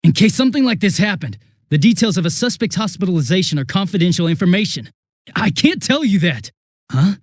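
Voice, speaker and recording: A man voicing a young police detective in a video game, affable and easily flustered, with a casual, hesitant and excitable way of talking. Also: high-pitched, a little fast, no room sound, studio quality. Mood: flustered, alarmed. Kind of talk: English video game voice acting.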